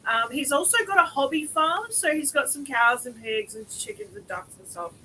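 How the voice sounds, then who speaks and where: A woman's voice: sits high, animated, a little hiss or hum, rough recording. A woman with a Cumbrian accent hosting a casual group stream, speaking with many ums and laughing often. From Cumbria, England. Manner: slow, bright, laughs often, lots of ums. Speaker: stream host.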